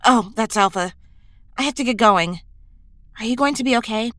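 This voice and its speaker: A woman's voice: on the high side, moderate pace, very noisy, natural voice. A woman playing a supportive, college-age superhero in an audio drama, talking casually and sincerely. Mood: reassuring.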